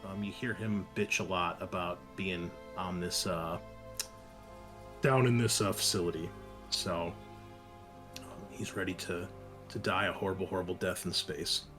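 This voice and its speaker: A man's voice: sits low, measured pace, long pauses, over music. A man chatting casually as a tabletop game player, describing his character and what he does.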